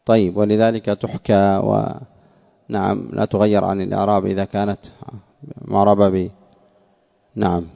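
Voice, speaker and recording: A man's voice: fairly low pitch, level tone, moderate pace, vocal fry, long pauses. A man teaching grammar in a lecture, explaining a text line by line in a didactic way and repeating phrases for emphasis. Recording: dull lo-fi sound.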